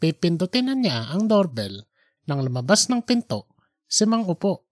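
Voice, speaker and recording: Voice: medium pitch, sing-song, moderate pace. A narrator reading scripted fiction in an audiobook storytelling style.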